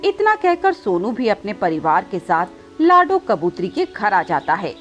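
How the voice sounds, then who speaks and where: A woman's voice: medium pitch, very animated, measured pace, highs cut off, faint music behind. A woman narrating a scripted children's moral story.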